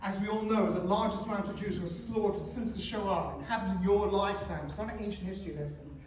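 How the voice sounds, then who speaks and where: A man in his forties giving a talk to teenagers in a school hall, animated and questioning the audience. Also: high-pitched, quick pace. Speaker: guest speaker. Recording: echoey hall, speaker far from mic.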